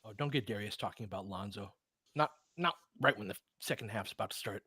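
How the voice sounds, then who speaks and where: A man's voice: fairly low pitch, brisk, sharp tone, slightly rough, dry recording, clean studio sound. A man hosting a live basketball stream, chatting and commentating casually in a blunt, salty, informal way.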